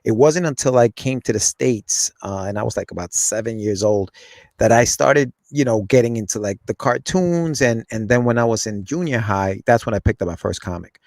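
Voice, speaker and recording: A man in his forties who grew up in Ecuador talks as a guest, rambling through his memories with plenty of restarts and "like"s. His voice sits at an ordinary middle pitch, and the recording is dry and close. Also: normal speed, vocal fry, darkish tone, dry recording. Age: 40s. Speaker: guest, comic creator. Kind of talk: casual interview chat.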